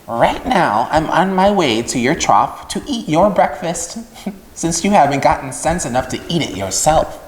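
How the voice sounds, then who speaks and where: A man's voice: on the high side, sing-song, measured pace, voice pushed up. A man voicing a crafty, gluttonous storybook rat with a sour, disdainful manner. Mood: sour, sly. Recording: echoey room with long reverb.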